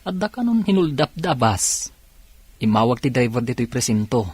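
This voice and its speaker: A man playing a brisk, matter-of-fact police officer in a radio drama. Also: mid-range voice, very animated, a little mellow, breathy edge, no echo. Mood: urgent. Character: steady male police officer. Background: drama music.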